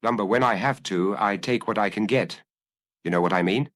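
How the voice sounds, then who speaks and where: A man's voice: lowish voice, normal speed, fairly bright tone, no echo, close to an everyday voice. A man voicing a lonely, edgy, unhappy killer in audiobook dialogue, speaking tersely and guardedly.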